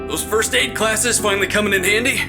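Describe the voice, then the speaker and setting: An actor playing an irreverent, jittery amateur sleuth in a comedy audio drama, casual and sarcastic. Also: male voice, sits high, steady tone, fairly quick, over music.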